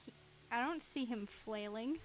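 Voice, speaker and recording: A woman's voice: fairly high pitch, measured pace. A woman giving casual, giggly, reactive commentary as she plays a game. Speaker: game streamer.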